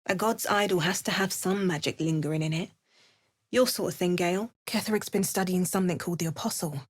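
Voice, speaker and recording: A woman playing an adventurous, wry and watchful spellcaster in a video game, with crisp, measured delivery. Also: fairly low pitch. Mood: watchful, musing. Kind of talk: scripted video game character lines.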